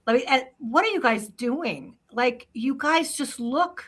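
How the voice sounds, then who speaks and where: A woman telling a quick personal story in a casual, halting way, repeating words as she starts. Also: sits high, animated, fairly bright tone.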